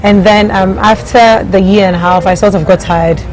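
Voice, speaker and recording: A woman's voice: mid-range voice, fairly even intonation, moderate pace, over music, rough recording. A woman from Ghana who grew up partly in Germany, talking casually as an interview guest in an anecdotal, conversational way. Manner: conversational, anecdotal, quoting others in her telling. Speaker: startup CEO guest.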